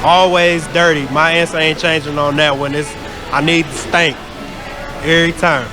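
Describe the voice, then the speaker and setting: American guy music producer talking about himself, voice a bit high, music playing behind him. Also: flat delivery, normal speed. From St. Louis, United States. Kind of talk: interview answers about himself.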